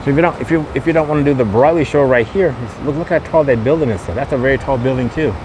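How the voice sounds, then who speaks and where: A man's voice: mid-range voice, racing. A man hosts a casual walking tour podcast, repeating his points in tour-guide patter. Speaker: podcast host and vlogger. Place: outdoors on a windy street at a shopping mall. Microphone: camera microphone with a wind guard. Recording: windy outdoor street noise.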